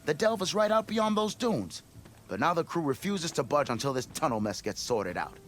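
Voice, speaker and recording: An actor playing a blustering, scheming expedition leader in a video game, with grandiose storytelling. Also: male voice, a little high, sing-song, moderate pace, a little hiss or hum. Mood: eager, persuasive. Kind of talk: video game cutscene acting.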